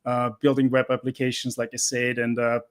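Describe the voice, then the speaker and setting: Middle-aged man, mid-pitched. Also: monotone, a little fast, clean tone. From Austria. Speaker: interview guest, cybersecurity instructor. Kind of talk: casual conversational interview answers.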